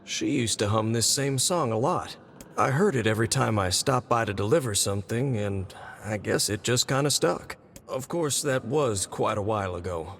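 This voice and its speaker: An actor playing a kind, easygoing postman in a video game, talking in a gentle, chatty, coaxing way. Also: lowish voice, lively intonation, normal speed, noisy background. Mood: gentle, caring. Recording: background music under the voice.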